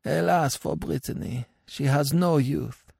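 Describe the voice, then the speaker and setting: A mournful old villager acted by a male reader talks from the grave. The voice is raised in pitch and drawn out, with archaic storybook phrasing, close and dry. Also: vocal fry, a little mellow, husky, no room sound, full character voice. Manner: slow, mournful, archaic speech. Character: mournful sixty-year-old dead Breton villager recalling losses. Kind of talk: dramatic storybook reading.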